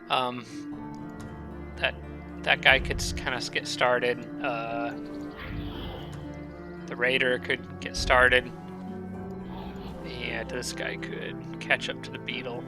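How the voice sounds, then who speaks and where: A man giving casual commentary over a strategy game, thinking aloud with many fillers and restarts, his nose stuffed up from allergies. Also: medium pitch.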